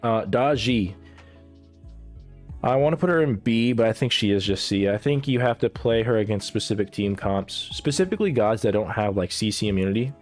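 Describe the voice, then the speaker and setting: A man with a lowish voice talks through a casual, rambling commentary, cursing freely and stumbling through restarts and fillers. He is coming down with a cold and coughs now and then. Soft music plays faintly behind him. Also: lively intonation, moderate pace. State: getting sick, coughing. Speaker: gaming content creator ranking characters.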